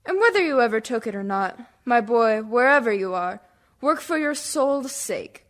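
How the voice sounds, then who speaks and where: A woman's voice: a little high, lively intonation, normal speed, fairly bright tone. A woman playing a devout, tender spinster schoolteacher, pleading earnestly in a radio play monologue. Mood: prayerful.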